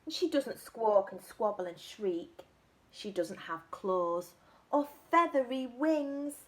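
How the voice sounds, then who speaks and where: A woman's voice: a little high, lively intonation, slow pace. Woman voicing a fretful little monkey. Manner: rising cries of protest, sing-song rhyme. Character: small anxious little monkey looking for mum. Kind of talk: storybook read-aloud.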